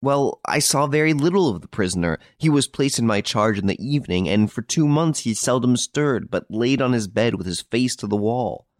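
Prison guard witness male reader acting: hesitant, explanatory, pitched up, audiobook courtroom scene. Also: animated, normal speed, hardly any pauses, no echo, clean studio sound, natural voice. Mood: explaining. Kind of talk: storybook courtroom testimony.

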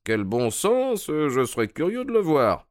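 A man reading a sharp-witted detective. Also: fairly high pitch, huge pitch swings, measured pace, no echo, studio quality, pitched above the performer's own voice. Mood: probing. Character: keen, sharp-witted male detective, middle-aged. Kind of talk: audiobook character reading.

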